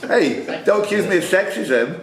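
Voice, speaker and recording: Quiz master in an echoey room. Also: male voice, sits high, lively intonation, a little slow, a crowd in the background. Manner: bantering, teasing contestants. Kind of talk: live pub quiz hosting, reading questions. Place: indoor club room. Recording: echoey room, clear background noise.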